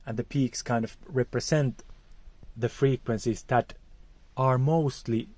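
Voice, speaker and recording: A man's voice: mid-range voice, fairly even intonation, measured pace, a little mellow, no room sound. A man with a Finnish accent teaching vocal technique, explaining acoustics in a careful, hesitant way. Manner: careful, hesitant, searching for words.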